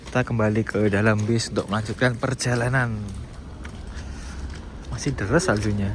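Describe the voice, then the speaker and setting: A man's voice: on the low side, fairly even intonation, normal speed, a little hiss or hum. A man narrating a casual travel vlog to his viewers from a bus ride. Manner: chatty, addresses viewers as friends. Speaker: vlogger. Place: inside a moving bus.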